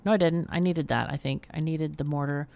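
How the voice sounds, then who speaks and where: Low, creaky-voiced woman streaming a game. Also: a little fast, dry recording, muffled recording. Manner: chatty, expressive, mutters to herself while playing. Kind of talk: casual live gameplay commentary, thinking aloud.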